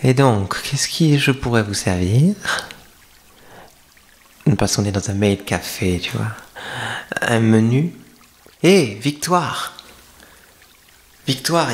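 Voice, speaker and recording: A man's voice: mid-range voice, slow pace, pauses often, close to an everyday voice. A coy young femboy streamer, played by a man, hosts the listener in a maid café roleplay. The delivery is intimate ASMR, playful and teasing, with a confiding way of talking straight to one person. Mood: playful, welcoming.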